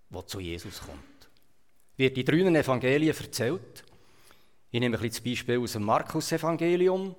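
A man with a Swiss German accent delivers a sermon as a storyteller, rising to loud emphasis and then dropping to quiet asides. His voice sits at an ordinary middle pitch. The sound carries a long reverb, as if from a church hall. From Switzerland. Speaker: preacher.

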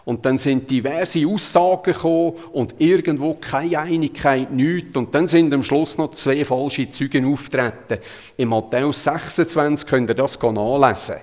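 A man's voice: medium pitch, normal speed, darkish tone. A man with a Zurich Swiss German accent preaches a sermon in a conversational, emphatic way, retelling Bible passages. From Zurich, Switzerland. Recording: long hall reverb.

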